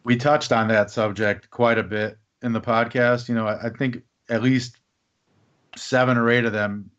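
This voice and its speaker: A man's voice: mid-range voice, steady tone, normal speed, a bit bright. A man hosting a podcast, talking casually and rambling with fillers. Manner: rambling, with fillers and back-channel murmurs. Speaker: podcast host. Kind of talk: casual conversation. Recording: dull lo-fi podcast audio.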